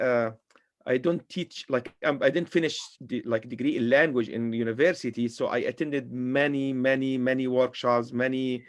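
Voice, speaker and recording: A man whose first language is Arabic, a teacher being interviewed on a video call, speaking haltingly with many pauses and restarts. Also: mid-range voice.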